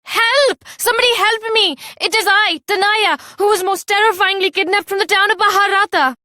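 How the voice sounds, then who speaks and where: An actress playing a melodramatic, lovestruck young damsel in a video game, gushing in florid phrases. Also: female voice, very high voice, moderate pace, sharp tone, no room sound, studio quality. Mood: frantic. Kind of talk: theatrical video game voice acting.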